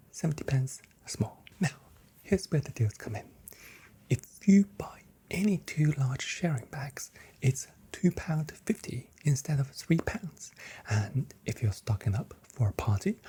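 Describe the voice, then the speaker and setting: A soft, breathy whisperer performs an ASMR shop assistant role play. The tone is dark and relaxed, with gentle, playful sales patter. A noticeable hiss and small clicks sit in the recording. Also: medium pitch.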